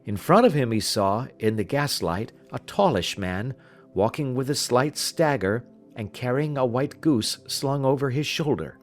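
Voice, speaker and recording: A man voicing a confident, analytical gentleman detective in an audiobook, speaking in a precise, measured, lecturing manner. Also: medium pitch, expressive, faint music behind, close to an everyday voice. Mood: matter-of-fact recounting. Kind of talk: audiobook character dialogue.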